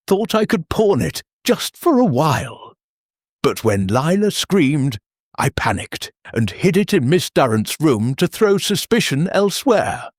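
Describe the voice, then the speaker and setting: A man voicing a desperate young debtor in an audiobook, confessing haltingly and guiltily. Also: no room sound, clean studio sound. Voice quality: low. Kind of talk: audiobook character dialogue.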